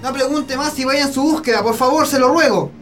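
A lovestruck young Chilean peasant in a radio play. His voice is extremely high for a man, solemn and respectful yet defiant, over faint music. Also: brisk, fairly bright tone. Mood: urgent, pleading. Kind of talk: Spanish-language radio drama acting.

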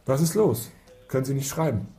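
An actor playing an impatient, commanding police investigator in a naturalistic radio drama, curt and sarcastic. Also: male voice, mid-range voice, expressive, a little fast, creaky, warm tone. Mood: stern, impatient. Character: brusque, impatient male police lead investigator.

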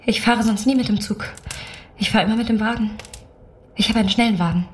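A woman's voice: on the high side, steady tone, a little fast, slight background noise, close to an everyday voice. An actress playing a composed woman with a cold edge in a radio play. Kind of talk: radio drama acting.

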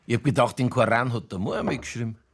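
Husky, needling Bavarian pub regular. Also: male voice, mid-range voice, quick pace. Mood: skeptical, curious. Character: sardonic, teasing Bavarian man, a regular at the pub. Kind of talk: German radio crime drama acting.

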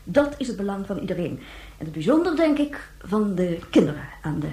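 Stately woman president, lively pitch, roomy echo. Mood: composed, official. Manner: official, measured, explanatory. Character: composed, formal female president of a moon colony. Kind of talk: radio play acting as a news interview. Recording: roomy, echoing sound.

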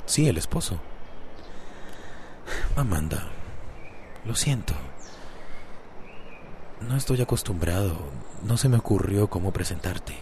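An actor with a Costa Rican accent playing a flirtatious, coaxing suitor in a radio drama. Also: male voice, fairly low pitch, expressive, slow pace, long pauses, warm tone, a little hiss or hum. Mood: apologetic, earnest.

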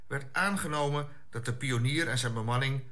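Actor as formal space commander, bright male voice. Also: medium pitch, slight background noise, natural voice. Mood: formal, polite. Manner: formal, measured, official. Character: formal male space-agency commander questioning returned astronauts. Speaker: actor in a science fiction audio drama. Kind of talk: radio play acting.

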